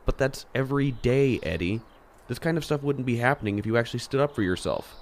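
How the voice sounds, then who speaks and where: A man acting a level-headed, popular teenage schoolboy and reluctant hero in an amateur audio drama, calm, dry and reasoning. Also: medium pitch, fast, natural voice. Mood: firm, defensive.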